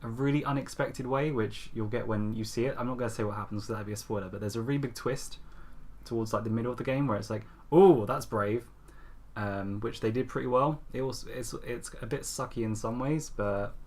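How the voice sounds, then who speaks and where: A man's voice: mid-range voice, brisk, darkish tone. A man co-hosting a podcast, chatting casually and bluntly about his opinions on films in an informal, sweary way. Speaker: podcast host presenting a top five list. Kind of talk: casual film chat.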